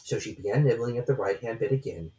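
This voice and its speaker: A woman reading a storybook as narrator in an expressive, scripted style. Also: very deep voice, quick pace, rough recording.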